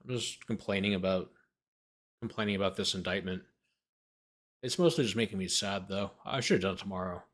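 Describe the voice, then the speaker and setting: A man's voice: sits low, brisk, pauses often. A man who hosts a livestream as a lawyer, reading a document aloud and breaking off into sarcastic, exasperated, argumentative commentary.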